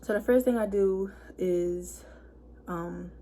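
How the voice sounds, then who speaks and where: Hoarse young woman chatting slowly. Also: mid-range voice, warm tone, slight background noise. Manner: chatty, heavy on fillers. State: hoarse, losing her voice. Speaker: vlogger. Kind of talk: casual story time chat.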